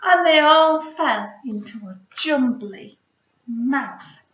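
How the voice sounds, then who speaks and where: A woman, a primary school teacher, reading a storybook aloud to children in an expressive way, with hushed asides to her listeners. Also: sits high, slow. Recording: roomy echo, slightly dull sound.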